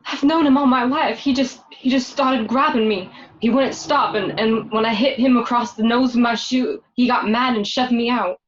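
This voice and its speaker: A woman's voice: on the high side, steady tone, normal speed, hardly any pauses, slight background noise, lightly acted. A woman playing a gangster's young girlfriend in a radio drama, candid and emotional. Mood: shaken. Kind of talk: amateur radio drama acting. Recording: dull lo-fi home recording.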